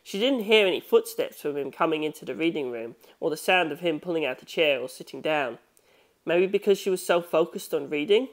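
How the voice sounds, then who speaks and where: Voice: mid-range voice, lively intonation, a little fast. A narrator reading a novel aloud as scripted audiobook narration.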